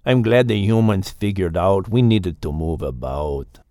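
A man voicing a restless but polite henpecked bull in a storybook, with a Spanish accent and a slow grumbling delivery. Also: deep voice, mellow, no room sound, clean studio sound, voice pushed down. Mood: polite, chatty.

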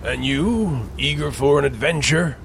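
An actor playing an eager, inquisitive young newspaper reporter in a western audio drama, a little hesitant and nervous. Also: male voice, medium pitch, lively intonation, a little slow, vocal fry, fairly bright tone, slight background noise. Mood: curious, eager. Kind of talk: western audio drama acting with first-person narration.

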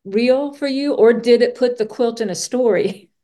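A woman's voice: a little high, normal speed, warm tone. A woman hosting a casual podcast interview, effusive and rambling, rephrasing her thoughts as she goes.

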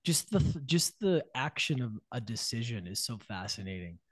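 A man's voice: medium pitch, big pitch swings, normal speed, no room sound. Laid back guy talking on a podcast, dry close sound, lots of likes and you knows. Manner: easygoing, reflective, many fillers. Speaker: podcast guest. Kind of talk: casual podcast conversation.

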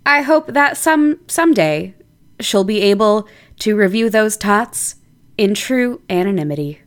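A woman's voice: mid-range voice, animated, unhurried. A woman presenting a chatty scripted podcast segment, speaking directly to listeners. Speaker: podcast producer with a regular segment.

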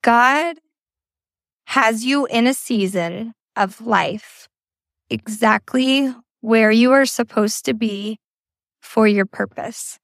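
A young woman with a hoarse, raspy voice left over from a cold speaks slowly and earnestly, coming back to the same encouragement again and again. Her voice sits a little high, and the recording is dry and close with no room sound. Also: no room sound. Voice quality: raspy, scratchy. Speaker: podcast host. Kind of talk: faith-based motivational podcast talk. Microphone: informal recording setup.

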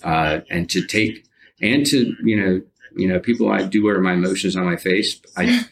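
A man in his fifties answering interview questions conversationally, haltingly, often repeating words and restarting his sentences. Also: low-pitched, gravelly, slight background noise, low-quality recording. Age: 50s.

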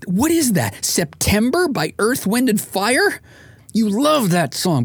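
A man's voice: mid-range voice, a little mellow, breathy edge, dry recording. A middle-aged man reading an audiobook in the first person, with wry, dramatic comic emphasis. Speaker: author, podcast host and morning radio presenter.

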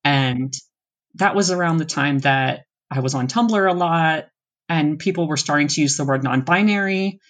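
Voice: mid-range voice, normal speed, a bit bright. A young adult from the US East Coast speaking in a casual interview, thoughtful and rambling, often breaking into laughter. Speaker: interview guest.

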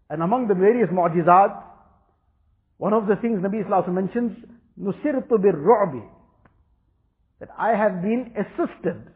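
A man whose first language is Urdu, giving an earnest religious talk and repeating phrases for emphasis. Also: high voice. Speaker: religious teacher. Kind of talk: Islamic talk. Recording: tinny phone-like recording.

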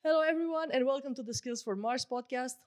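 A woman's voice: high voice, fast talker, dry recording. Woman podcast host, upbeat and courteous, dry studio sound. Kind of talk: scripted intro, then interview questions.

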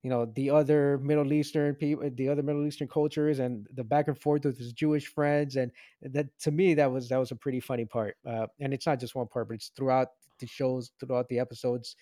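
A man's voice: mid-range voice, level tone, fairly quick, warm tone, no echo. A man in his late thirties from Venezuela, co-hosting a casual show review and rambling with many restarts. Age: 30s. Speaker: podcast co-host.

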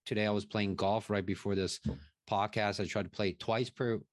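A man's voice: on the low side, steady tone, moderate pace, dry recording, studio quality. A man speaking casually and emphatically as a podcast guest, often checking in with tag questions. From Taiwan. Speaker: interview guest, real estate investor and mentor.

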